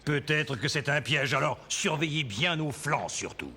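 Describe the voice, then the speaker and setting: A man playing a calm, courteous colonial commander in a dubbed cartoon, speaking politely and with assurance. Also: a little high, measured pace, a little hiss or hum. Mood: calm, commanding. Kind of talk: dubbed animated film acting.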